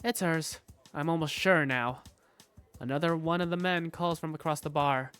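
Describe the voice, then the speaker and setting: A narrator reading first-person scripted visual novel story text in an expressive storytelling manner. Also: medium pitch, normal speed, clean tone, soft background music. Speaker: narrator and lead character.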